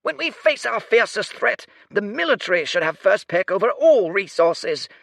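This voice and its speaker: A man doing a shrill, squawking general. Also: sing-song, moderate pace, vocal fry, fairly bright tone, no echo, studio quality, full character voice. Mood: indignant. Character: shrill, agitated male general, war-hungry.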